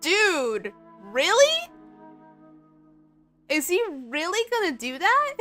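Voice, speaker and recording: A woman with a high voice comments casually on a game she is streaming. She is chatty and a bit slow-paced, with music clearly behind her. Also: wildly swooping pitch, a bit bright. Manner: chatty, talking to herself and viewers. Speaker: livestreamer. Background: game music and effects.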